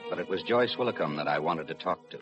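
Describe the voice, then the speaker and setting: An actor playing a gruff, commanding police detective who narrates tersely in 1950s radio drama style. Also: male voice, sits low, animated, fast talker, dull audio, over music. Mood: terse narrating. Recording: old 1950s radio broadcast.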